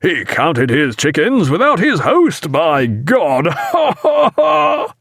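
A man's voice: medium pitch, very animated, moderate pace, vocal fry, no room sound, studio quality. A man voicing a big, genial, blustering naval captain with exclamatory, old-fashioned seafaring speech in an audiobook. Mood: gleeful.

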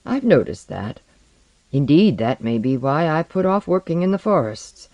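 Here is a reader as a repressed, courteous man of science in an audiobook. He speaks in a measured, formal way, grim and held back, in a dry recording. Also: mid-range voice, sing-song, darkish tone, no room sound, lo-fi sound. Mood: uneasy, restrained.

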